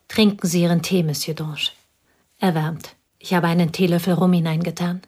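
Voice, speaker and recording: A woman playing a reserved schoolteacher, the dead wife's confidante, in a radio play, speaking in a calm, measured way. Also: on the low side, husky. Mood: restrained. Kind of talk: radio drama acting.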